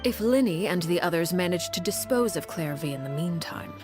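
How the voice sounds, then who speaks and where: A woman playing a calm, menacing aristocratic diplomat in a video game, composed and formal. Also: lowish voice, a little fast, over music, close to an everyday voice. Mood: veiled menace. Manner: composed, formal, veiled threats. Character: calm, menacing, aristocratic female diplomat and crime-family head. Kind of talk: scripted video game voice acting.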